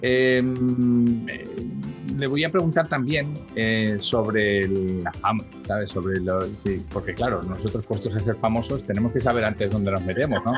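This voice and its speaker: A man hosting a podcast, chatty and energetic as he introduces his guest. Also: lowish voice, measured pace, over music. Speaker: podcast host. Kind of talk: casual interview hosting.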